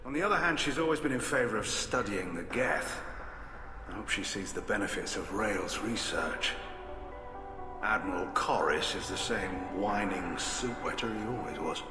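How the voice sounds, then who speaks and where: An actor playing a gruff, hawkish middle-aged alien admiral in a video game, blunt, clipped and wry. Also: male voice, lowish voice, moderate pace, lightly acted. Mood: grave, blunt. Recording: echoey hall, music behind.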